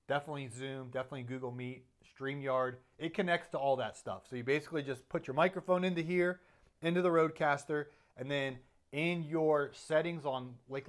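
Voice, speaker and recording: A man who is a podcaster, casually reviewing his audio gear in a chatty, self-deprecating way with lots of fillers and restarts. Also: mid-range voice.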